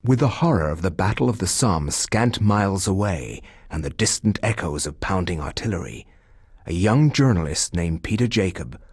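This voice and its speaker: An actor narrating a horror game in a grave, solemn manner as a scholar recounting the horrors of war. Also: male voice, low-pitched, a little mellow, breathy edge, no room sound, studio quality, heavily acted.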